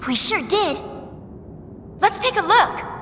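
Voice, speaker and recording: Very high, sweet young heroine. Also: female voice, very animated, slow pace, music in the background, full character voice. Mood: curious. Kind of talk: video game voice acting.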